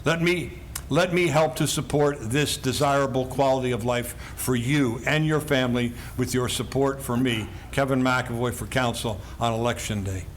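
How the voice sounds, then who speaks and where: An older man delivers a prepared campaign statement in a formal, emphatic way, stressing each item in a list. His pitch sits in an ordinary middle range, and a faint hiss runs under the voice. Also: normal speed. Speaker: council candidate.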